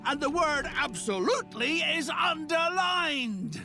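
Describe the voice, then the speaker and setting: A man's voice: very high-pitched, lively intonation, takes it slowly, creaky, bright, forceful tone, full character voice. An actor playing a pompous, pedantic male official who lectures sarcastically. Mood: scolding. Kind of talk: video game cutscene voice acting. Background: game music.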